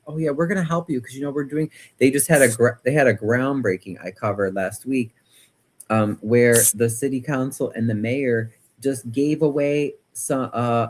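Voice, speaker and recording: An American man with a mid-pitched voice is hosting a livestream. He talks casually and wanders from one thought to the next while reacting to viewer comments. His delivery is animated and opinionated, with emphatic repetition. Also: husky. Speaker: livestream host.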